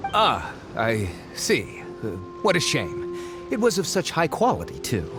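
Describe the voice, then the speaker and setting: A man's voice: mid-range voice, huge pitch swings, a little slow. A man voicing a greedy, pompous male archaeologist who blusters and gets defensive. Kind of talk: game voice acting. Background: game music.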